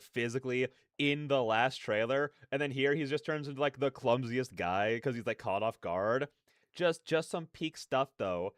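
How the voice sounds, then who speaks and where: A man's voice: medium pitch, fairly bright tone, clean tone, no echo, studio quality. A man giving casual, effusive, slangy reaction commentary as a streamer, often repeating his phrases.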